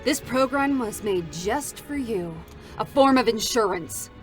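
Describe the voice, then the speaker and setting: A woman voicing a weary, battle-hardened female android deserter, speaking in a cold, flat, bitter way during a live concert reading. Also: fairly high pitch, measured pace. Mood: cold, mocking. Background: faint music.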